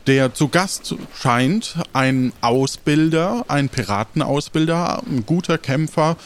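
A man's voice: on the high side, lively intonation, slow. A man narrating an improvised adventure game as game master, in a casual conversational way.

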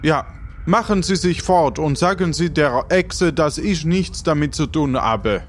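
A man's voice: a little high, expressive, measured pace, faint music behind. A man playing a stiffly polite, stammering and indignant innkeeper in an improvised audio drama. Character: flustered, indignant, overly formal male innkeeper.

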